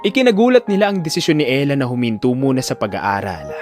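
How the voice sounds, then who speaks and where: A man narrating a scripted audio drama in a solemn, expressive, theatrical storytelling style. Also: mid-range voice, fast, faint music behind.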